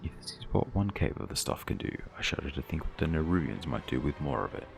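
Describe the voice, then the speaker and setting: Very deep, creaky male quest reader. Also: big pitch swings, fast talker. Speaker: gaming video narrator. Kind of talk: scripted dramatic reading of quest text. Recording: voice-over with game music behind.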